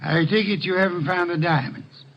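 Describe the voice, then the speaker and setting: An actor playing a shrewd, unruffled older detective in an old radio drama, speaking formally and with dry amusement. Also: male voice, high voice. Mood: grave, courteous. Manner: formal, measured, drily amused. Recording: muffled old radio broadcast recording.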